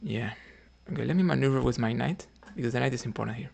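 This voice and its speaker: A man talks through his chess moves live, half to himself and half to his viewers. His voice sits at an ordinary middle pitch with a gravelly fry. He speaks quietly and hesitantly, trying out moves in murmured fragments. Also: quick pace, a little hiss or hum. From Cuba. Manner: thinking aloud, muttering moves quietly, hesitant. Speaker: chess streamer. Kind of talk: live-streamed commentary on his own chess games.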